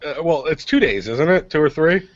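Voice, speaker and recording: An American man co-hosting a casual radio show, talking haltingly and repeating words as he starts. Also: on the high side, lively intonation. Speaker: radio show co-host and activist blogger. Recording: dull, lo-fi audio.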